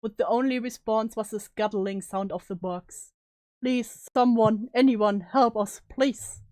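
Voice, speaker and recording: A woman's voice: mid-range voice, normal speed, darkish tone, no room sound, close to an everyday voice. A woman playing a guilt-ridden, despairing young student in a solemn, melodramatic story reading. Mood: desperate, anguished. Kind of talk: dramatic reading of story narration.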